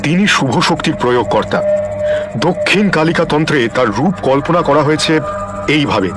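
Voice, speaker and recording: A man's voice: a little high, animated, normal speed, loud music behind the voice, natural voice. A man playing an earnest wandering holy man. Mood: solemn teaching. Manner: gentle, earnest, philosophical teaching. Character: wandering holy man, kindly, wise, plain-spoken ascetic. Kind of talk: audio story drama acting.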